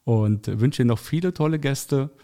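A man's voice: mid-range voice, normal speed, dark tone. A man from Hesse, Germany, speaking as a podcast guest in casual interview talk, earnest and somewhat hesitant. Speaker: podcast guest, audio drama producer and director. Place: indoor studio, in person with host.

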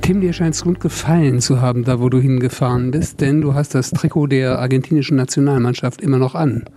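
A man's voice: medium pitch, a little fast, mellow, soft background music. A man hosting a podcast, putting casual questions to his guest. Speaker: podcast host, interviewer.

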